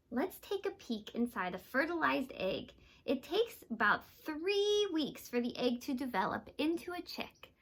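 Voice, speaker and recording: Lady teacher reading aloud to little kids, highish voice, really lively up and down, asks them questions. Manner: simple explanations with frequent questions to young children. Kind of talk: children's read-aloud lesson.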